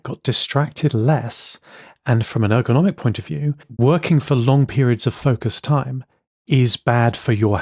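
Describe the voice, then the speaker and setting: A man co-hosting a podcast, explaining things casually in quick bursts. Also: medium pitch, darkish tone, no echo, studio quality. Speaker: co-host.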